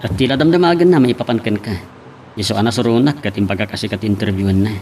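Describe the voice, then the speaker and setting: A man's voice: fairly low pitch, quick pace, a little mellow, a little hiss or hum, lightly acted. A man voice-acting a shy, earnest suitor who pleads hesitantly in an Ilocano love drama. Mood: hesitant, jealous.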